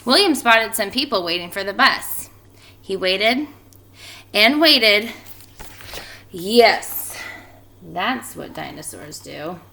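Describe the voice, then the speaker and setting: A woman speaking as a teacher doing a storybook read-aloud for children, expressive and emphatic in her delivery. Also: mid-range voice, slow, vocal fry, long pauses, a little hiss or hum. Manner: animated, expressive delivery with emphatic stretched words.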